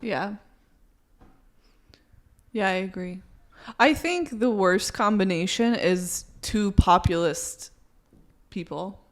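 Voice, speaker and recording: A woman's voice: mid-range voice, takes it slowly, long pauses. A young adult woman chatting casually as a podcast co-host, saying "like" often and laughing easily. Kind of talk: casual chat.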